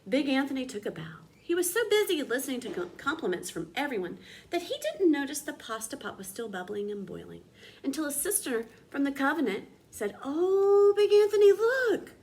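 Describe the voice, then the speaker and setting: A woman reads a children's story aloud like an expressive teacher. Her voice is fairly high and swings widely in pitch for dramatic effect. There is faint noise in the background. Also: fairly quick.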